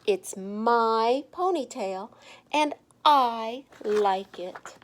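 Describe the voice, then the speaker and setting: Storybook role: stubborn young schoolgirl voiced by a woman, opinionated, emphatic, higher-pitched. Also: expressive, drawn-out words. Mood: firm, proud. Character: stubborn, defiant little schoolgirl with strong opinions.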